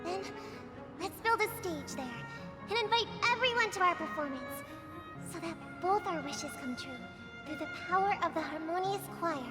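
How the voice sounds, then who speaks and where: Very high-pitched sweet little girl. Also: lively intonation, normal speed, music in the background. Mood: hopeful, sweet. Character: sweet, hopeful little girl, younger sister. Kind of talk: scripted game voice acting.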